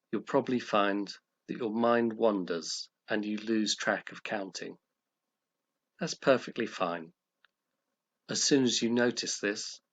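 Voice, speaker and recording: A man with a fairly low voice leads a guided meditation. He gives gentle, calm instructions in short measured phrases, pausing between them.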